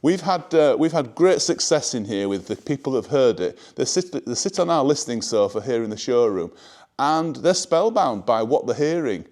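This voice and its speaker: Guy doing a relaxed showroom product pitch, measured but keen, medium pitched voice. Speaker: hi-fi showroom presenter. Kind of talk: informal product presentation. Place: indoor hi-fi showroom.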